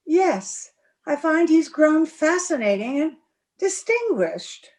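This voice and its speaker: A woman playing an earnest, defiant, smitten young gentlewoman with a prim manner in an old-time radio drama. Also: high-pitched, very animated, takes it slowly, heavily acted. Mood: indignant, defiant.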